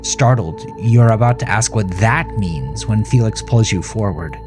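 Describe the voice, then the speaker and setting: A man's voice: on the low side, a little slow, darkish tone, faint music behind. A man narrating a horror story in a dramatic, grave and suspenseful manner. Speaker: author narrating his own horror fiction.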